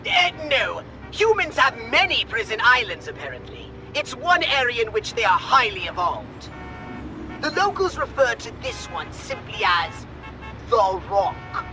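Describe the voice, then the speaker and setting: A man's voice: very high voice, big pitch swings, a little slow, full character voice, poor audio. A man playing a fussy, imperious alien commander in a video game, pompous, precise and theatrical. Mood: briefing, superior. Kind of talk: video game cutscene acting. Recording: loud music behind the voice.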